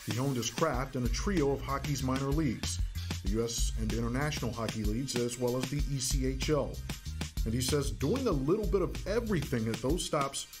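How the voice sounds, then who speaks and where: A man's voice: mid-range voice, fairly quick, over music. A man with an American accent reading scripted narration for a news feature as a reporter.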